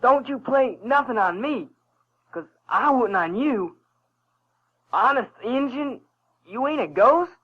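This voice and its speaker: A man's voice: very high-pitched, lively intonation, takes it slowly, pauses often, slightly rough, exaggerated voice. An actor playing a brash Southern American country boy in a radio drama, with colloquial, emphatic speech. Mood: spooked, puzzled. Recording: dull 1970s audio drama recording.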